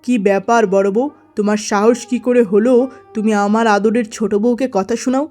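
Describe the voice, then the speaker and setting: A woman's voice: fairly high pitch, fairly even intonation, fairly quick, a little mellow, soft background music, lightly acted. A woman voicing a domineering, fault-finding merchant husband in a cartoon story, stern and complaining. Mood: stern, menacing. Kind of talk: melodramatic cartoon story acting.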